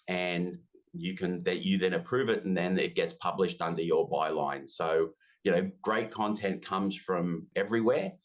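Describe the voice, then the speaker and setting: A deep-voiced Australian man in his fifties talks casually in an interview. He is chatty and self-deprecating and slips into quick asides. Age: 50s. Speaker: interview guest, business software community founder.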